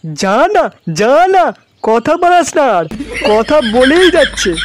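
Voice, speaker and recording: A man voicing a scolding, long-winded old father in a comedy cartoon, with a pitched-up voice. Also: sing-song, a little slow, background noise, heavily acted. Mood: impatient. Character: nagging, scolding old village father, comically crude.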